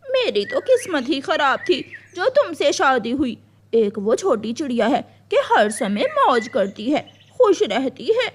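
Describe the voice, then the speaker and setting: A woman voicing a jealous, bitter, scheming crow wife in a cartoon, sharp-tongued and complaining. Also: high-pitched, sing-song, measured pace.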